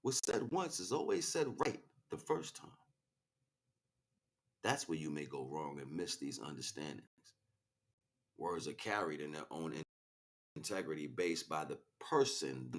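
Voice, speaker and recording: A man delivering an impassioned, improvised spiritual monologue, preachy and grandiose, swinging between hushed asides and loud, emphatic declarations. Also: extremely low pitch, expressive, unhurried. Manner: preachy, grandiose, repeats phrases for weight. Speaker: spiritual speaker, streamer.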